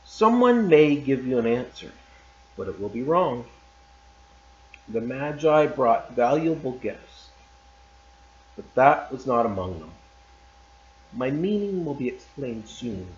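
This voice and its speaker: Man, teacher, reading aloud, measured, roomy, muffled. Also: mid-range voice. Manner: calm and measured, loose and chatty after reading. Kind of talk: read-aloud story narration. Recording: roomy, slightly dull recording.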